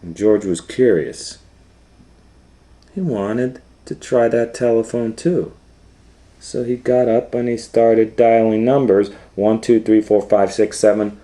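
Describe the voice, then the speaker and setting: A man reading a children's story aloud as storytime host, pausing to explain things simply in a conversational way. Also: fairly low pitch, fairly even intonation, warm tone.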